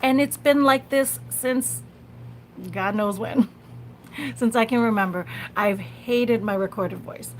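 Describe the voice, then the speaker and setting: A woman's voice: on the high side, takes it slowly. Chatty woman livestreamer on a noisy phone recording. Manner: conversational, repeats phrases, frequent small laughs. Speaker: live-stream host. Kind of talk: casual live vlog chat to viewers.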